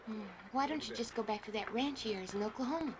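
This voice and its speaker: A woman plays a friendly young gold-camp girl in a folksy TV western. Her voice is high and chatty. The recording is a noisy old videotape. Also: fast talker, lightly acted. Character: sweet, friendly young miner's daughter mending clothes in gold camp. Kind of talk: folksy television western acting. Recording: noisy VHS tape transfer.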